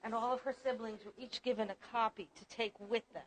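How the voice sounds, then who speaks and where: A woman's voice: a little high, fairly even intonation, a little fast, rough recording. A woman artist in a museum gallery, earnestly and reflectively describing her family photographs. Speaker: exhibiting artist. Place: art museum gallery, indoors.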